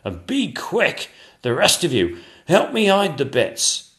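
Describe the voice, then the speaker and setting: Guy doing a no-nonsense foreman painter, loud orders, raised voice, storybook. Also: medium pitch, big pitch swings, voice pushed up. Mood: bossy. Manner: shouting brisk orders. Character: bossy, practical male royal chief painter.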